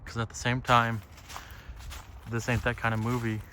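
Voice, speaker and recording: A man's voice: mid-range voice, completely flat delivery, normal speed, bright, forceful tone. A man giving a casual, rambling, reflective monologue, musing and swearing freely as he goes. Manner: musing, profane, often tags phrases with "right?". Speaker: solo podcaster. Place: outdoors on a lakeside trail. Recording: outdoor ambient noise, birds. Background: outdoor ambience with birds.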